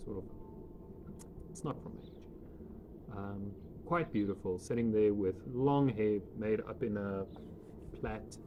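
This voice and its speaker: Male game master, casual, improvised, halting narration. Clear background noise. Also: on the low side. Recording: noisy room with background ambience.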